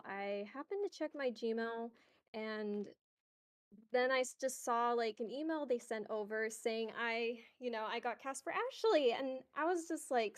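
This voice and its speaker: Slow-speaking woman with a higher voice. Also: darkish tone. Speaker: voice actor guest. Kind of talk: casual livestream Q&A.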